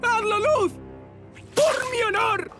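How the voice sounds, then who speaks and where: An actor voicing a small, bossy, excitable demon king with a Castilian Spanish accent in a cartoon dub. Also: very high voice, takes it slowly. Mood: indignant. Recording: music over the voice.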